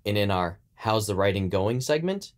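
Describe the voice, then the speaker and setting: A man's voice: lowish voice, big pitch swings, normal speed. A man co-hosting a podcast in a conversational style, as its producer.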